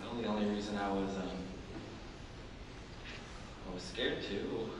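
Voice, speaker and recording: A young man performing a haunted male high school English teacher, who talks in halting restarts and wry, self-mocking laughs, in a live dramatic interpretation. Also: fairly even intonation, natural voice. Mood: rambling, uneasy. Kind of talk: competitive dramatic interpretation monologue. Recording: echoey hall from far off, noisy.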